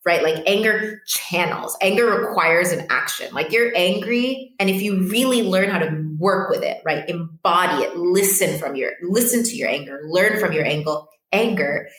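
A woman's voice: on the low side, moderate pace, few pauses, fairly bright tone, reverberant, poor audio. A woman in her late twenties, a guest coach, talking reflectively over a video call, often saying "like" and "right?". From born in Portugal, raised in Ethiopia. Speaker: podcast guest, empowerment coach. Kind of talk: casual interview talk.

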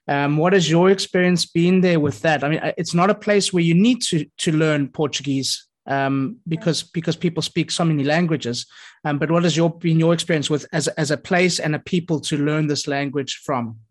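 Male interviewer, a touch high-pitched, eager, trips over his words. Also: moderate pace. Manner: enthusiastic, stumbling restarts. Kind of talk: casual interview conversation.

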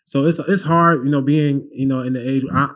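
A man in his twenties, a guest minister, talking about his life in a casual, animated way, often repeating and restarting. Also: mid-range voice, moderate pace. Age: 20s. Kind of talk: casual interview talk.

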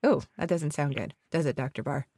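A woman's voice: sits low, a little fast, warm tone, slightly rough, dry recording, studio quality. Chatty woman, nasal from a cold. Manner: chatty, giggly, self-deprecating. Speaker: podcast co-host. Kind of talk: casual podcast chat.

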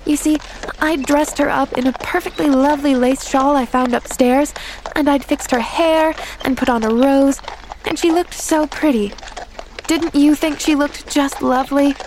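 Storybook little girl played by a woman: sweet, earnest, high voice, exclamatory delivery. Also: animated, normal speed, slight background noise, poor audio. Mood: bright, earnest. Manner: earnest, exclamatory, hesitant when troubled.

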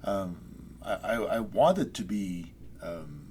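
A man's voice: low-pitched, expressive, slow, darkish tone. Thoughtful hesitant man, faint room hiss. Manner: thoughtful, hesitant, many restarts and trailing off. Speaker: poet guest. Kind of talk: reflective interview chat. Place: Saskatoon resident.

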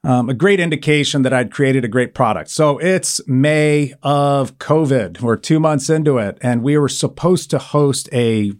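A man speaking in a radio studio as an interview guest, his voice sitting at an ordinary middle pitch. He talks in a friendly, rambling way, filling pauses with "uh" and "um" as he explains things at length. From Argentina and Italy. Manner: friendly, rambling with frequent fillers. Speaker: guest, sauce company founder.

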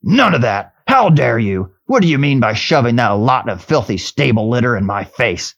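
A man voicing a surly, cynical, stubborn dwarf in a storybook reading, with gruff, scornful grumbling. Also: mid-range voice, big pitch swings, moderate pace, fairly bright tone, highs cut off. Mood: indignant.

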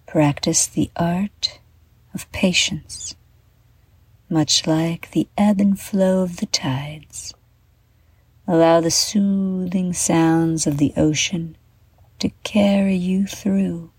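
A woman guiding a children's bedtime meditation in a soft, breathy half-whisper, gently and soothingly, with deliberate pauses. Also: low voice, no echo. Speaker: meditation guide and show host.

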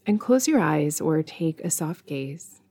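Female meditation guide, low register, soothing and measured, gentle music underneath. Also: expressive. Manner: soothing, measured delivery with long pauses. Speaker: meditation guide, podcast host.

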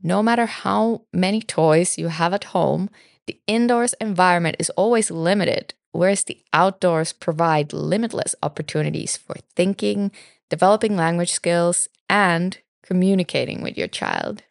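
A woman from Finland in her thirties, a native Finnish speaker, co-hosting a podcast with calm, explanatory reading. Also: lowish voice, normal speed, vocal fry, no room sound, clean studio sound. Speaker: podcast co-host. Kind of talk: scripted podcast narration.

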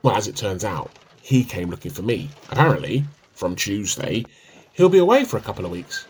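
A man's voice: fairly low pitch, big pitch swings, a little fast, background noise. An amateur actor, a man, playing an easygoing, evasive husband in a conversational domestic radio play. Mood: easygoing banter. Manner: conversational, bantering. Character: easygoing, evasive husband, bad at keeping secrets.

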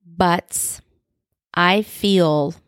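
A woman's voice: on the low side, drawn-out words, clean tone, dry recording, clean studio sound. A young woman with a Turkish accent, hosting her own podcast, who talks in a reflective and openly personal way. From Turkey. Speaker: podcast host. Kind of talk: solo podcast monologue.

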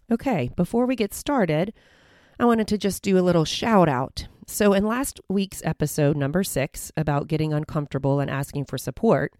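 A woman in her forties, slightly hoarse, hosting a self-help podcast in a bubbly, encouraging way. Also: lowish voice, darkish tone, no echo, studio quality. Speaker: podcast host.

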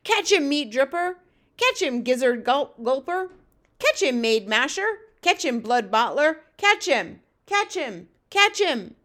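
Woman doing nasty brutish giant from a kids book, shouty jeering, voice pushed high. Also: very animated, slow, heavily acted. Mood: boisterous. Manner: shouted, taunting, broken grammar. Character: huge bullying man-eating male giants, jeering and brutish. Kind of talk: children's storybook villain voice.

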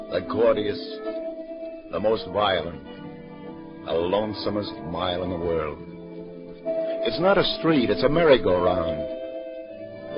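A muffled old radio recording with music around it carries a man acting a world-weary American police detective. His pitch roams widely as he talks in clipped street slang. It is pure hardboiled noir. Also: lightly acted. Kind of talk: 1940s radio drama acting, noir narration. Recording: band-limited 1940s radio recording, music behind.

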